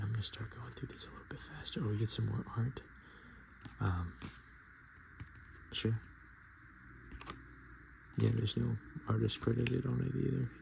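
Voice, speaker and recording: Softly murmuring, very creaky-voiced man. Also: medium pitch. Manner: hesitant, halting, murmured. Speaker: ASMR video host. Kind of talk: soft-spoken and whispered ASMR, casual commentary. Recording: noticeable background noise.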